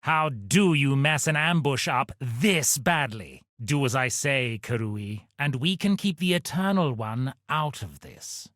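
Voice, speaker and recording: An actor playing a pompous, scheming aristocratic villain in a video game, haughty, condescending and melodramatic. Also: male voice, medium pitch, expressive, unhurried, hardly any pauses, a bit bright, no echo, studio quality. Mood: haughty, scornful.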